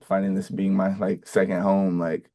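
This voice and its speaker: A young man, a college football player from the Bay Area, answering interview questions in a casual, halting way with many ums and restarts. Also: low-pitched, flat delivery, mellow. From Bay Area, California. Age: young adult.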